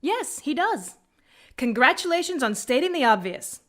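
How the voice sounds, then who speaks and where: A woman's voice: high voice, big pitch swings, normal speed. A woman voicing a proud, stern rebel military tactician in a video game, curt, sardonic and articulate, given to lecturing. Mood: scornful, angry. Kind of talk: scripted video game character acting.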